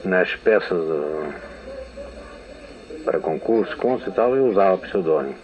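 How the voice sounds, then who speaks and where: A man's voice: deep voice, expressive, a little slow. An older man from Brazil with a Brazilian Portuguese accent, telling memories in a meandering radio interview and often restarting sentences. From São Paulo state, Brazil. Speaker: interviewed writer. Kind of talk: archival radio testimony. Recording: narrow, phone-like old radio archive.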